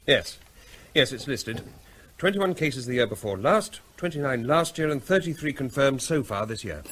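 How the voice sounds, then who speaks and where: An actor playing a brisk, matter-of-fact government veterinary officer in a radio drama. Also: male voice, mid-range voice, animated, fairly bright tone, slight background noise, close to an everyday voice.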